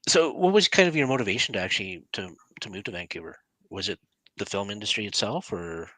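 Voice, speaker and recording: Canadian guy hosting a chat, bright voice, dry close sound, easygoing. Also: on the low side, lively intonation, quick pace, no echo, low-quality recording. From Calgary, Canada. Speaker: host. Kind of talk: casual interview chat.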